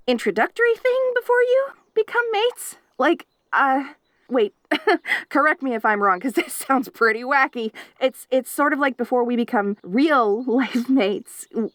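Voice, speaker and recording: A woman's voice: sits high, fairly bright tone, no room sound, clean studio sound, exaggerated voice. A woman voicing a clingy, obsessive young wolf girl in an audio roleplay, giggling and stammering as she rambles eagerly. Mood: giggly.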